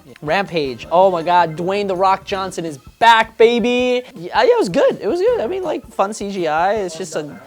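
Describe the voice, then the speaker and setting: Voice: medium pitch, very animated, normal speed. Casual, sweary, joking reviewer over music. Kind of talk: casual off-the-cuff one-line reviews.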